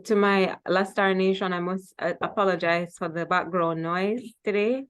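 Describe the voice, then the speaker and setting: A woman's voice: lowish voice, fairly even intonation, moderate pace, smooth. A woman hosting a music interview in a casual, chatty style. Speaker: host of a music vlog.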